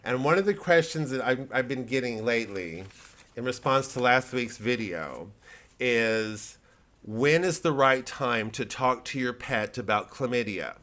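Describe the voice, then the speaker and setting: A man who works as a comedian, talking in a casual, rambling vlog monologue with a deadpan delivery. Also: mid-range voice, a little slow. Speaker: comedian promoting his live show.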